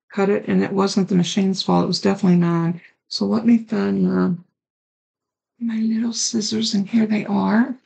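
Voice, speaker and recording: A woman with a raspy, hoarse voice hosting a card-making tutorial, chatting along as she works through each step. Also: mid-range voice, darkish tone. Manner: chatty, talks while working with her hands. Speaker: card-making channel host.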